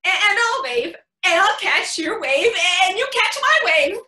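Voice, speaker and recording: A woman's voice: very high-pitched, unhurried, heavily acted. A woman playing a devoted, emotional mother who tells her story in an emphatic staged reading, acting out what others say. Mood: wistful, emotional. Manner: animated, emphatic storytelling with acted-out quotes. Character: devoted, emotional mother of young children narrating. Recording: echoey room.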